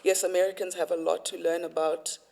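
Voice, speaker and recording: A woman's voice: lowish voice, brisk, creaky, poor audio. A young woman from South Africa with a South African accent, telling stories in a casual interview and acting out the people she quotes. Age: young adult. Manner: animated storytelling, acts out quotes. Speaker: university student guest.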